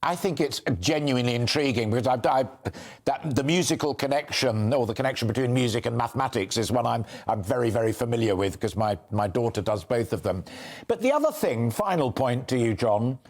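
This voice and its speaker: A man's voice: medium pitch, lively intonation, a little fast. A middle-aged man with a British accent interviewing on a live news broadcast in an effusive, wordy, emphatic manner.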